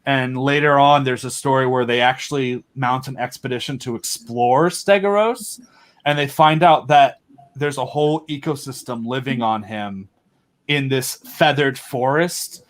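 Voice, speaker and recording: A young man chatting on a home podcast mic, eager and rambling as he piles on details between fillers. His pitch sits in an ordinary middle range, and his talk is loose and unscripted. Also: measured pace. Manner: rambling, enthusiastic, filler-heavy. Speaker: podcast guest. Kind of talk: casual chat.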